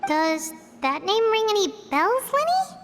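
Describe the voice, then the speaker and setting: A woman's voice: very high voice, very animated, unhurried, very noisy, heavily acted. A woman voicing a cheerful, innocent little girl in a video game, in a chirpy, friendly way. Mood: sweet, welcoming. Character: tiny cheerful childlike girl, bubbly and innocent.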